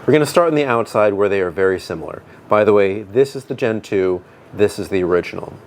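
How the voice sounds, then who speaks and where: A man with a deep, booming voice talks through a product review in a loose, conversational way. He pauses with fillers and restarts his sentences as he thinks aloud. He speaks into a dedicated mic, with a faint hum of ambient noise behind him. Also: expressive. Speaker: tech reviewer. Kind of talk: casual product review to camera. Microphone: dedicated microphone.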